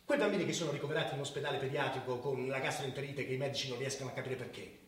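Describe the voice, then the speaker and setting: A man's voice: medium pitch, rapid-fire, distant mic, close to an everyday voice. An actor playing an idealistic, stubborn scientist in a radio drama, speaking earnestly and insistently as he challenges others. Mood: grave warning. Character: idealistic, stubborn scientist father confronting his powerful brother. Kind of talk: naturalistic radio drama acting. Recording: very echoey room, off-mic, faint noise.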